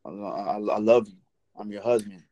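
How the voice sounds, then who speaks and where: A man in casual podcast chat, joking and animated. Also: lowish voice, moderate pace, muffled recording. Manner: animated joking, laughs loudly.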